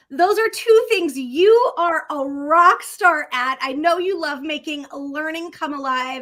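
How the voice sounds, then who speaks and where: A woman's voice: sits high, sharp tone. A middle-aged woman hosting an upbeat online session from a home office, talking in an effusive, enthusiastic way over a video call. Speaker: host.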